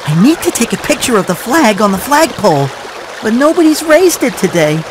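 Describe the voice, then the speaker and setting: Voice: medium pitch, very animated, fairly quick, background noise, close to an everyday voice. An actor voicing a small, friendly, eager boyish mouse in a video game cutscene. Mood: friendly, helpful.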